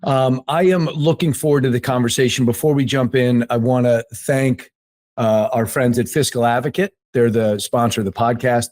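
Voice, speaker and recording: Man hosting, mid-pitched voice. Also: steady tone, moderate pace, darkish tone. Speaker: podcast host. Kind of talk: conversational interview.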